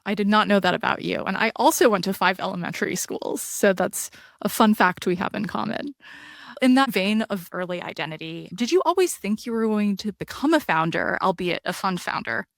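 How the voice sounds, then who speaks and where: A woman's voice: mid-range voice, lively intonation, a little fast, vocal fry, no echo, clean studio sound. A woman hosting an interview podcast, reading a prepared intro and asking questions with engaged interest. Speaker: podcast host.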